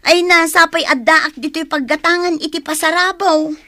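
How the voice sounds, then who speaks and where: A sweet younger sister in an Ilocano radio comedy. She speaks in a very high, bright voice with a northern Philippine accent, agreeable and close to the mic. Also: steady tone, moderate pace, no room sound. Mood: caring, curious. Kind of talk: radio comedy drama acting.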